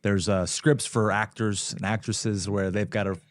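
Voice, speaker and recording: A man's voice: lowish voice, little pitch movement, moderate pace, no room sound. A man hosting a podcast, asking casual, roundabout questions and offering frequent affirmations. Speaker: podcast host.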